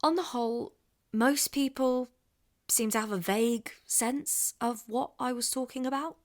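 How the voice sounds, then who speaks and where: A woman's voice: a little high, close to an everyday voice. A woman playing a wry podcast host from the Midlands. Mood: calm. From English Midlands. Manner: measured, wry storytelling. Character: curious young woman podcaster. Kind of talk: scripted podcast narration and street interviews.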